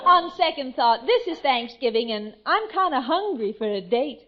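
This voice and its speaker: High, lilting coy radio secretary. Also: female voice, animated, normal speed, some chatter behind. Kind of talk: 1940s radio comedy acting. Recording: muffled old radio recording, audience behind. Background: faint audience.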